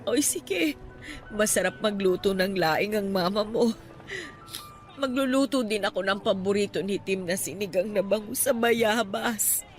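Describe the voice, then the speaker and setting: A woman's voice: mid-range voice, a little slow, soft background music, natural voice. A woman playing a kind, grieving elderly mother in a radio drama, speaking tenderly. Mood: gentle, motherly.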